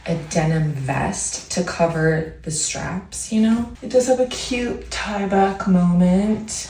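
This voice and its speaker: A young woman with a hoarse voice from a cold, chatting upbeat to viewers in a casual try-on haul. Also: on the low side, a little mellow. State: hoarse from a cold, partly lost voice. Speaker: vlogger doing a clothing try-on. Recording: echoey room, far from the mic, faint background hum.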